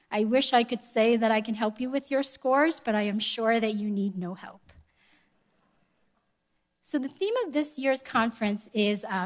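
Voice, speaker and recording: A woman delivering a prepared speech at a conference in a measured, polite and formal manner. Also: fairly high pitch. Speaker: conference speaker, education organization executive. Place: live event room before an audience.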